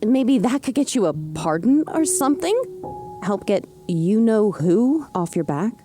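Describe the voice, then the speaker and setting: A woman playing a caring but sardonic young woman in an audio drama, with an expressive delivery. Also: medium pitch, measured pace, over music, close to an everyday voice. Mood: worried, loving.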